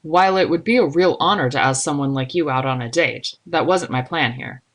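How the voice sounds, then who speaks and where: Woman voicing a low, friendly, disarming young man. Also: a little fast. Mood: gentle, apologetic. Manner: calm, reassuring, casual. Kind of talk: audiobook character dialogue.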